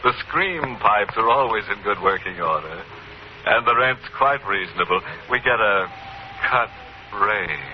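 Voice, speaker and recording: An actor playing a gleeful, ghoulish horror host with a theatrical, mock-welcoming manner in 1950s radio style. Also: male voice, lowish voice, expressive, unhurried, a little hiss or hum. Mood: gleefully macabre. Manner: macabre, punning, theatrical. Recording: muffled old 1950s radio broadcast. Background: music.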